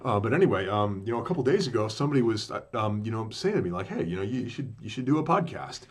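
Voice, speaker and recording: A man with a deep voice hosting a podcast, telling his own story in a loose, rambling way full of fillers and restarts. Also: big pitch swings, fast talker, husky. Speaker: podcast host. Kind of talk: casual rambling monologue.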